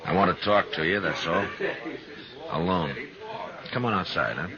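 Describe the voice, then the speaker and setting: An actor playing a steady, tough U.S. marshal in a 1950s radio western, speaking in a measured, authoritative way. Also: male voice, low-pitched, a crowd in the background. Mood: calm, commanding. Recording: dull, hissy 1950s radio recording.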